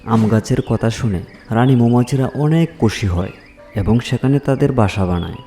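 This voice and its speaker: A man's voice: medium pitch, moderate pace, warm tone, faint music behind. A man narrating a scripted fairy tale for children as a storyteller.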